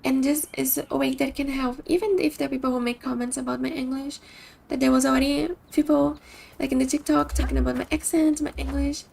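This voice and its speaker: A woman's voice: high-pitched, steady tone, fairly quick, creaky, darkish tone, a little hiss or hum. A woman from Brazil with a Brazilian Portuguese accent, a streamer chatting casually with her viewers and often restarting sentences as she searches for words.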